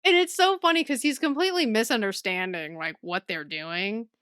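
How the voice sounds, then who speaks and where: A woman's voice: a little high, moderate pace, fairly bright tone, clean tone, no echo, studio quality. A woman co-hosting a podcast, talking informally and thinking aloud in a book club chat. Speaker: podcast co-host.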